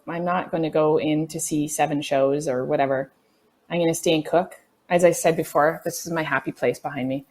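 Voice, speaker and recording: A woman in her forties talking casually as an interview guest, with an animated, emphatic and informal way of speaking. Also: sits low. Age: 40s. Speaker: podcast guest, actor.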